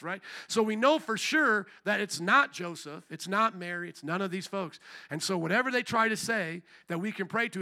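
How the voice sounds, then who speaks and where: Male pastor, high bright voice, preaching that swells into loud calls to the congregation. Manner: rises to loud shouted emphasis. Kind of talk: sermon. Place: church service.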